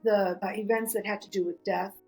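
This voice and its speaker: A middle-aged woman whose first language is Greek, a podcast guest telling stories in a lively way, full of restarts and "you know". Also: mid-range voice, fairly quick, faint music behind. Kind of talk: conversational storytelling.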